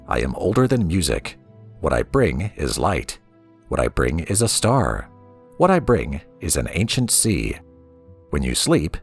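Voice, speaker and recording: A man's voice: a bass voice, vocal fry, breathy edge, full character voice. A man reading aloud as a solemn, cryptic god-king who preaches prophecy in a portentous, incantatory way.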